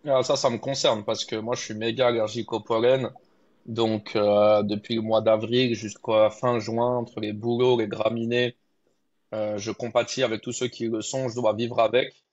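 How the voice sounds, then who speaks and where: A man's voice: medium pitch, little pitch movement, normal speed, a little mellow, lo-fi sound. A man hosting an interview, asking questions in a friendly, conversational way. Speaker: podcast host. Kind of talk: casual interview.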